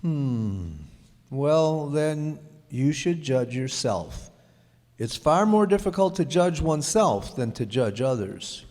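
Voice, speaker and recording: Man acting slow, pompous, bumbling king, echoey hall. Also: a little high, lively intonation, a little mellow. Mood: pleading. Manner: regal, pompous, hemming and hawing. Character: lonely self-important king, bumbling but kindly. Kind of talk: radio play acting. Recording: echoey hall, long reverb.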